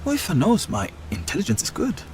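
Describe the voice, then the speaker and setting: An actor playing a self-serving wartime informant in a video game, theatrical, smug and wheedling. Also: male voice, fairly high pitch, huge pitch swings, quick pace, background noise. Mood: wry. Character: wily, self-serving male wartime informant, a turncoat officer. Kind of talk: video game cutscene acting.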